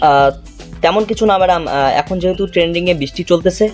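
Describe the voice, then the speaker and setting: A man's voice: high-pitched, fast, a little mellow. A man from Bengal, speaking casually as a prank-call host in a politely deferential way. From North Bengal, India. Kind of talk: casual vlog talk and prank phone call. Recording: music behind the voice. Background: background music.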